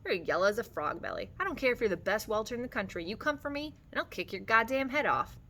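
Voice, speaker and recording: A coarse, fearless ranch man played by a woman sneers and taunts. The storybook delivery is sharp and belligerent, often lifting in pitch. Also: sing-song, fast talker, heavily acted. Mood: taunting.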